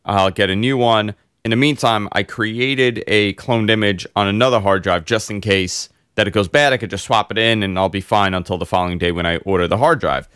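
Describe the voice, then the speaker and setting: A man hosting a casual tech podcast, hoarse and congested, telling long rambling stories full of fillers. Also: fairly low pitch, brisk, few pauses, no echo, clean studio sound. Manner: rambling, animated storyteller with many fillers. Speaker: host.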